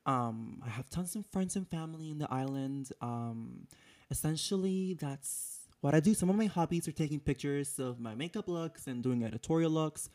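A man's voice: a little high, expressive, normal speed, a little mellow. A man in his twenties from Puerto Rico, co-hosting a podcast in playful, campy chat. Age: 20s. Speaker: podcast co-host. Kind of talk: casual chat.

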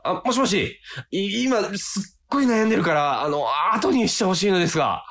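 A man's voice: sits high, lively intonation, a little fast, fairly bright tone. An actor playing a self-pitying, melodramatic young office worker in a comic radio drama, narrating his inner thoughts in an overwrought way. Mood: despairing. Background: background music.

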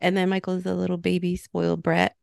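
Nasal, giggly woman with a gravelly edge. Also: on the low side, monotone, quick pace, darkish tone, dry recording, studio quality. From Mexican heritage. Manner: giggly, teasing. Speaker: podcast co-host. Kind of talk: casual chat. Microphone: video call.

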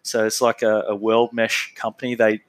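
A man's voice: on the low side, monotone, moderate pace. An Australian man in his thirties, an interview guest talking casually and laughing often, with plenty of fillers. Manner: laid-back, rambling, laughs often, lots of fillers.

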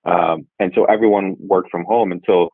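A man in his forties from Jamaica, a guest speaking in a measured, thoughtful way and often pausing to rephrase. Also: deep voice, steady tone, dry recording. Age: 40s. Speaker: guest, revenue executive. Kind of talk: reflective interview answers. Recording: tinny phone-like line, dry.

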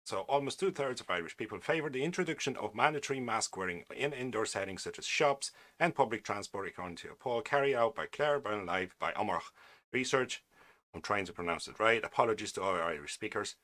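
A man's voice: lowish voice, animated, a little fast, fairly bright tone. A man hosting his own podcast, reading a news article aloud and adding wry, opinionated asides with frequent restarts. English is not his first language. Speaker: podcast host.

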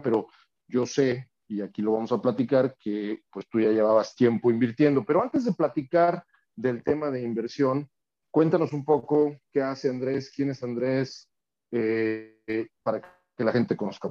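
A Mexican man hosts a relaxed podcast interview, friendly and a little rambling as he circles back over his words. His voice is mellow and soft-edged, and the audio sounds slightly muffled. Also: mid-range voice. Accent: Mexican Spanish. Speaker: podcast host. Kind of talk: casual interview chat.

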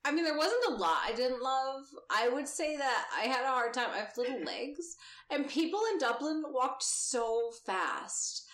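A woman's voice: high voice, expressive, unhurried, a bit bright. Upbeat woman in an echoey room. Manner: upbeat, casual, lots of "like". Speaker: podcast co-host. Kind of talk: casual chat. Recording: roomy echo.

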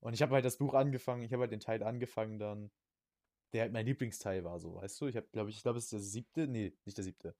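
A man's voice: fairly low pitch, fast, darkish tone. A young man chatting casually as a podcast co-host, rambling in slang and often repeating his words. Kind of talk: casual chat.

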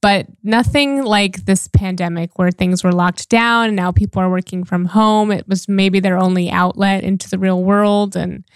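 A woman hosting a podcast in casual chat, talking in an encouraging, conversational way. Her voice sits at a middle pitch, and the recording is close and dry with no room echo. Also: steady tone, normal speed, few pauses, clean tone. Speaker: podcast host, life and relationship coach.

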